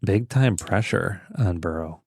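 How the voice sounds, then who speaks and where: A man's voice: deep voice, a little slow, creaky, darkish tone, husky, dry recording, studio quality. A man co-hosting a podcast, chatting casually about sports in short, loose, conversational phrases. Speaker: podcast co-host.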